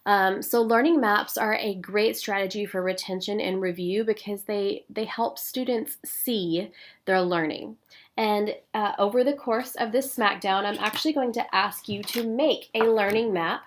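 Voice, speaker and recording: A woman's voice: medium pitch, a little slow. Stuffy-nosed woman presenting to teachers. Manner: clear, paced teacherly explanation with frequent "um". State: stuffy nose from a cold. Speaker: instructional coach. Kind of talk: recorded professional development presentation.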